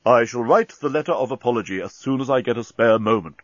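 A man's voice: medium pitch, fast, dry recording, muffled recording, close to an everyday voice. A man voicing a stern, indignant starship captain with a pompous, formal manner in a storybook.

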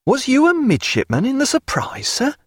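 Man putting on an eager, high-pitched young midshipman. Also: huge pitch swings, moderate pace, breathy edge, no echo, clean studio sound, exaggerated voice. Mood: amazed, eager. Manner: deferential, earnest. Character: eager, respectful teenage boy midshipman.

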